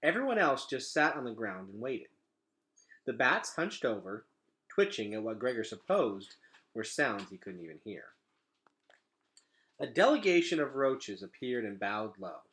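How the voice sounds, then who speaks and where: A man reads a children's story aloud with an expressive delivery. His voice is bright and forceful, moving widely up and down in pitch. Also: measured pace, pauses often. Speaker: read-aloud reader.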